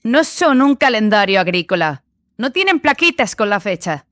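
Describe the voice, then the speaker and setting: A woman's voice: mid-range voice, very animated, normal speed, a bit bright, dry recording, clean studio sound. A woman playing a frank, touchy young female sorcery student who sees visions in dreams, defensive and sardonic, in an audiobook drama.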